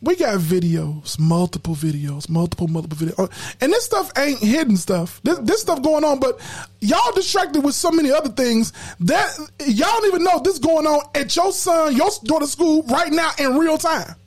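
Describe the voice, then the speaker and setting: High-pitched woman, emphatic podcast host. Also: animated, moderate pace, a little mellow. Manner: emphatic, preachy, repeats words when fired up; colloquial slang. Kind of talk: casual podcast discussion.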